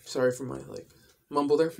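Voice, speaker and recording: A young adult man explaining things in a casual vlog style, with careful, halting phrasing, recorded indoors at home. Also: medium pitch, fairly even intonation, vocal fry. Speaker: video creator. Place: indoors, small room at home.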